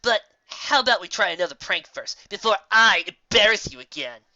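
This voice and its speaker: A woman as mean storybook griffin, boastful, scornful, goading, animated, forceful. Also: medium pitch, normal speed, muffled recording, pitched above the performer's own voice. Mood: lazy boasting. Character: cocky, lazy, mean-spirited female griffin prankster.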